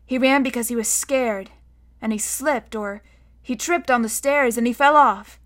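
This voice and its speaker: A woman voicing a calm, curious, brave young boy in a storybook, speaking plainly and earnestly. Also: on the high side, measured pace, natural voice.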